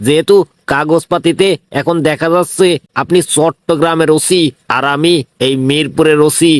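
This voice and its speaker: A man's voice: a little high, steady tone, normal speed, no echo, lightly acted. A man voicing a brash, bullying cartoon police inspector, blunt and threatening, with a Bangladeshi regional Bengali accent. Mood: curt, commanding. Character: brash, bullying male police inspector, tough and self-righteous. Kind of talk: comic cartoon dialogue.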